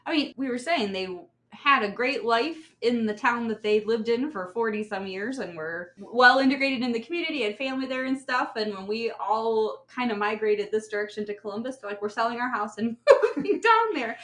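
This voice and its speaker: Higher-voiced middle-aged woman chatting. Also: expressive, normal speed, hardly any pauses, fairly bright tone. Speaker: podcast guest. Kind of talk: casual family conversation.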